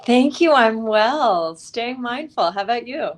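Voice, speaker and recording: A woman author speaking as an interview guest, telling her story in an expressive, articulate and reflective way. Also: fairly high pitch, a little slow.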